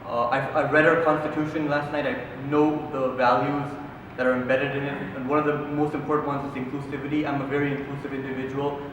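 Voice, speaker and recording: A man's voice: medium pitch, level tone, brisk, dull audio. A young man giving an earnest, formal campaign speech. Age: young adult. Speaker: student council candidate. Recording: echoey hall from far off, noisy.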